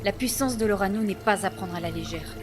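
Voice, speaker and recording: Proud village clan chief, female, played by an actress: ceremonious, measured, average pitch, fantasy audio drama over clear music. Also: natural voice. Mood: stately, explaining. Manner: formal, measured, ceremonious phrasing. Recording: voice under clear background music.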